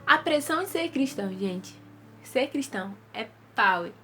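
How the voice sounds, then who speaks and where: Earnest Brazilian woman vlogging, slightly high voice. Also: expressive, moderate pace, sharp tone, faint music behind. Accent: Brazilian Portuguese. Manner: earnest, repetitive, conversational. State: stuffy with rhinitis. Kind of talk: casual devotional talk to camera.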